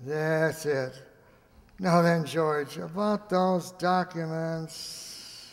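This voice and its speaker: An actor playing a smooth, placating agent who coaxes a guest with a hesitant manner in a radio play. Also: male voice, fairly high pitch, steady tone, very slow. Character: smooth, coaxing male government agent plying a guest. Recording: reverberant hall.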